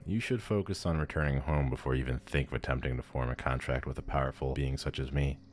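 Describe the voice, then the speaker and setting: A man's voice: extremely low pitch, fast talker, a little hiss or hum. A man playing a powerful demon lord in an ASMR audio roleplay, pompous, condescending and theatrical. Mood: haughty, incredulous. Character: haughty, powerful male demon lord, bored and amused.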